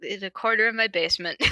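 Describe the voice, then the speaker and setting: A woman's voice: on the high side, bright, forceful tone, dry recording, studio quality. A woman podcast host casually reading fan fiction aloud and chatting, with a giggly, informal manner and frequent asides. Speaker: podcast host and podfic reader. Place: corner of a basement at home.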